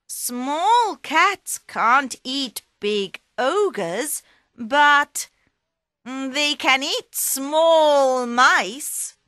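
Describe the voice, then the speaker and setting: A woman voicing a clever male talking cat who coaxes and flatters in a high, excited voice. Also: extremely slow, dry recording, clean studio sound, heavily acted. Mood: sly.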